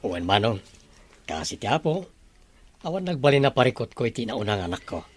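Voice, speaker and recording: A man playing a proud, gentle, middle-aged father in an Ilocano radio drama, speaking affectionately in a husky voice. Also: medium pitch, big pitch swings, fairly quick. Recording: music bed under the dialogue.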